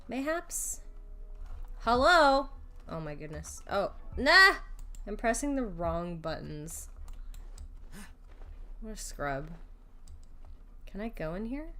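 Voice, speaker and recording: A woman's voice: fairly high pitch, bright, forceful tone. A young woman streamer, recovering from sickness, giving casual, playful reaction commentary on a game in slangy speech. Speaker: streamer, vtuber.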